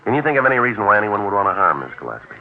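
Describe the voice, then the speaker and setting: An actor in a 1950s radio drama playing a terse, polite police sergeant, deadpan and clipped. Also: male voice, on the low side, very fast. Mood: polite, probing. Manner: deadpan, matter-of-fact, clipped. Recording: tinny, noisy vintage radio recording.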